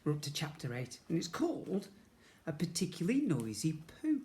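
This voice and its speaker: A man's voice: fairly high pitch, huge pitch swings, normal speed, a little mellow. A man reading a storybook aloud expressively to young children, as a schoolteacher would.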